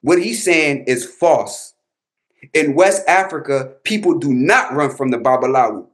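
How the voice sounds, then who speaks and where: A high-voiced American man hosting a reaction rant. He talks in a casual, slangy style and drives his points home with combative emphasis and repetition. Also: lively intonation, unhurried. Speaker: host, babalawo commentator.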